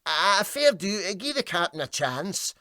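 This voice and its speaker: Storybook deckhand played by a man: Scottish, loyal, quick to protest, raised lively voice. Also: sits high, dry recording, studio quality, pitched above the performer's own voice, full character voice. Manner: protesting, plain-spoken. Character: touchy but loyal Scottish seaman musician.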